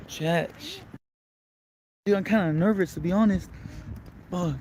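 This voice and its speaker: A young man livestreaming and chatting to his viewers, nervous and halting, stumbling through restarts and fillers, with English as his first language. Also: high-pitched, animated, a little mellow, slight background noise, poor audio. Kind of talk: IRL livestream chat. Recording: music playing underneath. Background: music.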